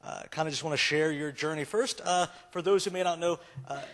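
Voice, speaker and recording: A man's voice: a little high, brisk, fairly bright tone. Male emcee, easygoing, filler-heavy. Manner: loose and hesitant, many fillers. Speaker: host. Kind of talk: casual emcee Q&A.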